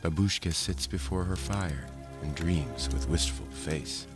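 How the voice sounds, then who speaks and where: A man reciting a narrative poem in storybook style, with a measured verse delivery. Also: extremely low pitch, lively intonation. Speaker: poem reader. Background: music.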